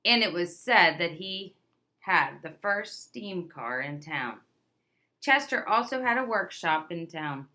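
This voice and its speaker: Bright-voiced woman reading a children's book. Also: on the low side, takes it slowly. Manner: expressive storytelling with emphatic stresses and questions to listeners. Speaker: read-aloud presenter. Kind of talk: children's picture book read aloud.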